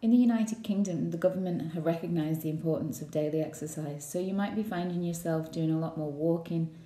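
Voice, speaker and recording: Very dark-toned woman, echoey room. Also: lowish voice, fairly quick. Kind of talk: scripted voice-over for presentation slides. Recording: muffled, echoey indoor voice-over.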